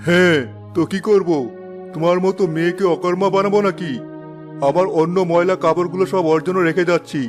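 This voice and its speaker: High-pitched tyrannical cartoon father, acted by a man. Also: level tone, a little fast, over music, natural voice. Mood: angry, scolding. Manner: harsh, accusing, domineering. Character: tyrannical, scolding father of two children. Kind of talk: cartoon dub acting.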